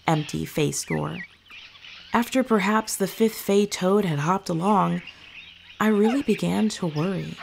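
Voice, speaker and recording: A woman playing an earnest young witch who tells her story in a measured way, as in an audio drama. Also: mid-range voice, vocal fry, darkish tone, slight background noise. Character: earnest young witch fondly recounting meeting her familiar. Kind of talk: audio fiction monologue, storytelling.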